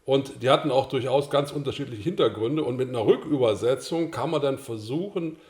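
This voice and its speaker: An older man, a native German speaker, calmly explaining a screencast tutorial to course participants, with the odd filler sound. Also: medium pitch, a little fast, roomy sound. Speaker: course instructor.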